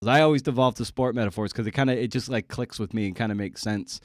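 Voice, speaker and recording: A man's voice: mid-range voice, no echo. American man, dry close-sounding casual interviewer. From Massachusetts, United States. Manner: halting, repeats words, builds analogies. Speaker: podcast host interviewing a writer.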